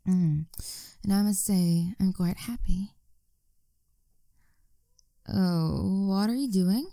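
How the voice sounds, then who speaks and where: A young woman speaking in a soft, coaxing whisper into a close microphone for an intimate audio roleplay. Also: medium pitch, takes it slowly, no echo.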